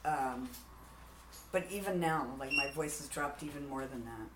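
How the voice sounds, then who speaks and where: A man with a raspy voice, deepened by testosterone, talking candidly and animatedly as a musician guest in a casual interview. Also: normal speed, echoey room, very noisy. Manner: candid, animated, frequent "like" fillers.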